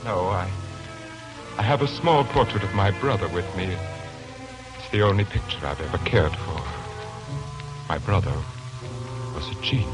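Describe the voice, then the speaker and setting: Casting: male actor as brooding, soft-spoken gentleman with a deep voice, polite yet intense, vintage radio thriller. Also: normal speed, long pauses, close to an everyday voice, poor audio. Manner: soft, hesitant, courteous, intense. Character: quiet, brooding, secretive gentleman lodger, a self-styled pathologist. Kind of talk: 1940s radio drama acting. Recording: old 1940s radio broadcast, music behind.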